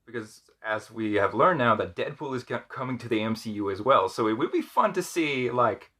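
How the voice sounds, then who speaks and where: A man co-hosting a casual fan chat, speaking in a hesitant, measured and agreeable way. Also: medium pitch, expressive, pushed and bright. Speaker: podcast co-host.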